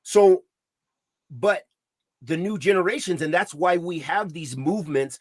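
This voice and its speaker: A man co-hosting a podcast, talking in an emphatic, preachy way with many restarts and Arabic religious terms, getting louder when heated. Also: on the high side, unhurried, dry recording, studio quality. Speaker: podcast co-host. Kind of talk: impassioned podcast discussion.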